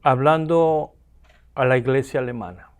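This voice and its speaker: A man's voice: mid-range voice, moderate pace, clear, resonant tone. A man from Argentina with an Argentine accent, giving a classroom lecture and often asking the students rhetorical questions. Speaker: seminary lecturer.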